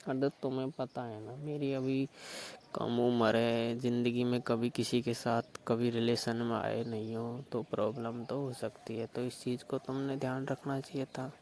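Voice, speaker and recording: A man's voice: mid-range voice, level tone, moderate pace, darkish tone, natural voice. A man playing a concerned, chiding confidant who questions a young bride in a staged phone-call drama, on a noisy recording. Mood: sympathetic, chiding.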